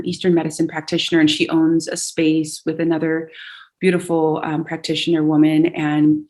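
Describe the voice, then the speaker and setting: Earnest reflective woman from Hawaii. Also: low voice. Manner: earnest and reflective, with fillers and restarts. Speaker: podcast guest, singer and yoga teacher. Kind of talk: casual conversation.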